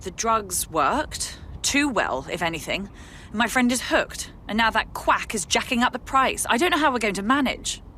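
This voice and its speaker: An actress playing a polite, earnest civilian recruit in a video game, well-spoken with a British accent. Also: female voice, a little high, expressive, moderate pace, bright, forceful tone, a little hiss or hum. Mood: worried, pleading. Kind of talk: conversational video game dialogue.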